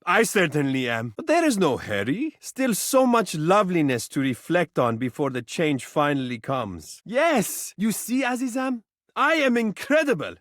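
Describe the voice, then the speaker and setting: Living mirror game character, vain and romantic, flowery and theatrical, very animated intonation, dry close sound. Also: male voice, high-pitched, normal speed, no echo. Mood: excited, self-admiring. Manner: flowery, formal, dramatic, self-admiring. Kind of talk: video game character voice acting.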